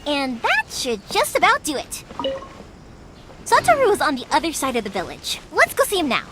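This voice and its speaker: A woman voicing a cheerful, energetic young woman who makes fireworks, upbeat and friendly in an English video game. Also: high-pitched, normal speed, very noisy. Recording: background music under the voice.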